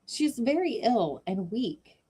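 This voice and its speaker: A woman's voice: fairly high pitch, very animated, slow pace, dark tone, slightly rough, voice pushed up, full character voice. A woman voicing a worried young woman artist, pleading and pert.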